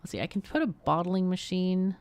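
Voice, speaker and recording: A woman giving casual live game commentary, chatty and expressive, often muttering to herself as she thinks aloud. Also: lowish voice, brisk. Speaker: game streamer, host.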